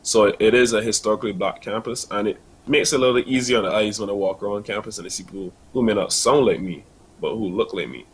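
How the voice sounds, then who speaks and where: Young Barbadian man, low voice. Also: fast, husky, poor audio. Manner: earnest, many fillers and restarts. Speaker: university student guest. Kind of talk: casual interview answers.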